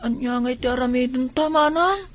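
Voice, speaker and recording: A woman's voice: sits high, a little fast, close to an everyday voice. A woman with an Ilocano accent playing a timid little orphaned child in a radio comedy drama, childlike and a bit whiny. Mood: frightened.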